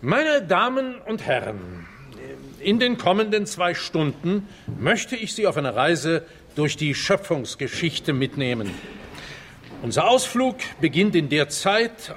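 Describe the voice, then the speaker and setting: Stuffy, animated, highish-voiced scholar. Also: male voice, a little hiss or hum. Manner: grandiloquent, academic, indignant when challenged. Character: stuffy, easily flustered male palaeontologist giving a lecture. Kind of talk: theatrical German radio drama acting.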